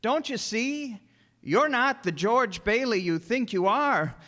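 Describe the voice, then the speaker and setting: A man playing a gentle, guileless elderly guardian angel in a radio drama, courtly and fussy in an old-fashioned way. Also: extremely high pitch, lively intonation, slow, exaggerated voice. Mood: excited, wondrous. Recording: echoey stage hall, long reverb.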